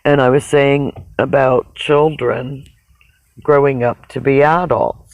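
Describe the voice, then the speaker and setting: Slow, gravelly, raspy voice on phone-like audio. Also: medium pitch, fairly even intonation, no room sound. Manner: halting, reflective, pausing mid-sentence. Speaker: livestreamer. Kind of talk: casual rambling livestream monologue. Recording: dull, narrow phone-like sound.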